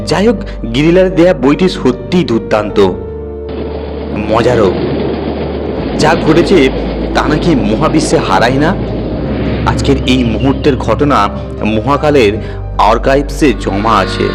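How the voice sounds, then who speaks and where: A man narrating a horror story in the first person with an expressive, dramatic storytelling delivery. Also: mid-range voice, a little slow, pauses often. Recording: music bed under the voice. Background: music.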